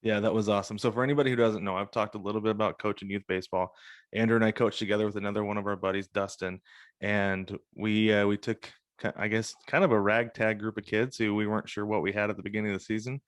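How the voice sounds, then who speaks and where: Low-pitched American man hosting a podcast. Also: level tone, quick pace, no room sound, studio quality. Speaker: podcast host. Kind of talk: casual interview and sign-off chat.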